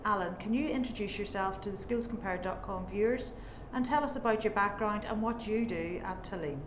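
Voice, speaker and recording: A woman's voice: mid-range voice, fairly quick, a little hiss or hum. Woman interviewer in an echoey room. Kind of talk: scripted interview questions.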